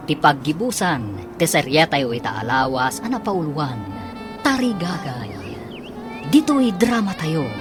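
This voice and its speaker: Dramatic Ilocano male announcer over music. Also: fairly high pitch. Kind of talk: scripted radio drama narration and credits.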